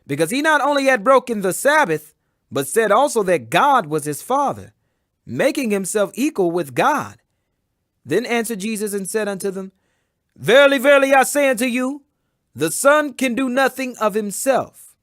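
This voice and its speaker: A man giving a solemn, emphatic and measured dramatic reading of scripture as an audiobook. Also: high-pitched, very animated, a bit bright, dry recording, studio quality. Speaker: Bible reader.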